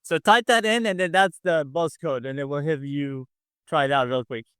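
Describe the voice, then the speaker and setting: A man's voice: a little high, moderate pace, a bit bright, clean tone, no echo, studio quality. A man casually hosting a quiz game on a video call, encouraging and often repeating himself. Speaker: quiz show host.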